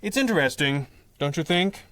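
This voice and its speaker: An actor playing a composed, dry-witted masked hero in a radio drama, deadpan and precise. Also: male voice, fairly high pitch, normal speed. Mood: dry, measured. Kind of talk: 1930s-style radio drama acting.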